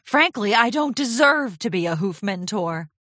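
A woman's voice: medium pitch, lively intonation, normal speed, pushed and bright, no echo, studio quality. A woman voicing a glamorous, self-assured female pony fashion designer in a storybook, with a grand, affected, dramatic manner. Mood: contrite.

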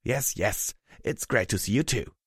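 A man voicing a gruff, blunt pony blacksmith mentor in an audiobook story, brusque and teasing with a rough edge. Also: lowish voice, a little slow, dry recording, studio quality.

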